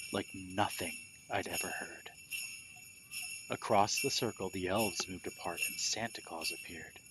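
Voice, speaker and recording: A man reading a children's story aloud expressively in a husky, breathy voice, with a hushed, dramatic and deliberate delivery. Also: deep voice, a little hiss or hum. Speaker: storybook reader.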